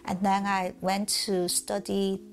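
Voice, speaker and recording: A woman's voice: medium pitch, completely flat delivery, takes it slowly, soft background music. A middle-aged woman from southern China with a Chinese accent, telling her life story candidly in an interview. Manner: candid, reflective, laughs softly now and then. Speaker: musician.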